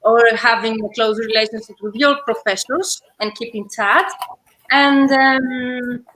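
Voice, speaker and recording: A woman's voice: a little high, fairly even intonation, measured pace, bright, forceful tone, low-quality recording. A woman business coach hosting a live online interview, talking conversationally with frequent fillers over a video call.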